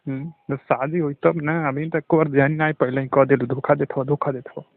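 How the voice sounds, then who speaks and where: A man's voice: on the high side, level tone, normal speed, no echo. Man acting teasing rustic lover, tinny phone line. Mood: playful, coaxing. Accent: Bhojpuri-accented Hindi. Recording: narrow tinny phone-call audio.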